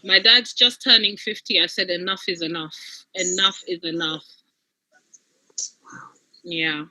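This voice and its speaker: A woman's voice: fairly low pitch, steady tone, measured pace, pushed and bright, no echo, rough recording. A thirty-year-old woman from Uganda giving a casual, effusive testimony, repeating points for emphasis. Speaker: guest giving a success testimony.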